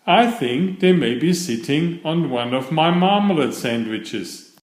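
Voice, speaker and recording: A man's voice: on the high side, lively intonation, measured pace. A man voicing a polite, earnest young storybook bear in a courteous, matter-of-fact way. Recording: roomy, echoing sound.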